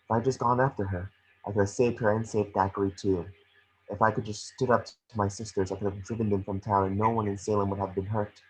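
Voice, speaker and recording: A man reading the part of a gentle, remorseful witch ghost mentor in an amateur table read, earnest and measured. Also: on the low side, little pitch movement, a little hiss or hum, close to an everyday voice, rough recording.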